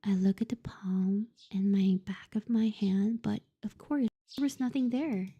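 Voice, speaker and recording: A woman's voice: mid-range voice, steady tone, unhurried, dark tone, husky, lo-fi sound. A young woman streamer reading a visual novel aloud and reacting expressively with laughs and comments.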